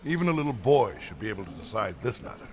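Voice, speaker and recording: An actor playing a stern, patient king and father in an audio drama, commanding and measured. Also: fairly low pitch, big pitch swings.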